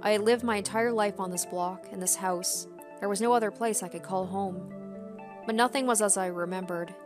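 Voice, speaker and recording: A woman with a medium-pitched voice gives a dramatic reading of a first-person story. Faint music runs behind her narration. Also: steady tone, normal speed. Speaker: gaming video host.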